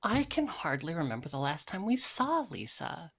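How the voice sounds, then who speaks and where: Sardonic, low creaky-voiced woman, acted in a reading. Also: sing-song, moderate pace, heavily acted. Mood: catty, mocking. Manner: dry, sardonic, mock-sweet.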